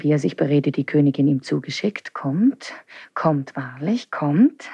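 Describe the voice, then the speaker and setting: Passionate, spurned young princess, closely recorded. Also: female voice, deep voice, a little mellow, breathy edge, no echo, lo-fi sound, exaggerated voice. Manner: theatrical, intense, with sudden drops to whispers. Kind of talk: 1970s German radio play acting.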